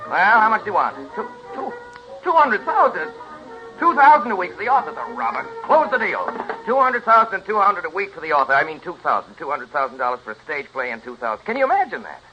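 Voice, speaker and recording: Fast, brash studio mogul. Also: male voice, sits high, very animated, sharp tone, exaggerated voice. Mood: outraged. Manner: pompous, blustering, barking orders. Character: brash, bossy, scatterbrained male movie studio mogul. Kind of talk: 1930s radio comedy acting. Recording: dull 1930s radio recording with music.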